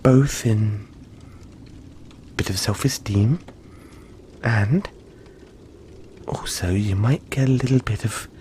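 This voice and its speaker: A man voicing a gentle, cuddly young yeti in a soft-spoken, hesitant, soothing roleplay addressed to the listener. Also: lowish voice, slow, pauses often, a little hiss or hum. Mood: encouraging, musing.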